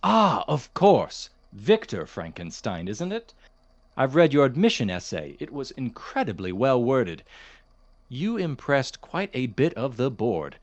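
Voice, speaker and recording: An actor playing a courteous, dismissive chemistry professor in an audio drama, speaking in a formal, measured, gently condescending manner. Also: male voice, medium pitch, very animated, lo-fi sound. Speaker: substitute lecturer. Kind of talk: audio drama, lecture and office talk.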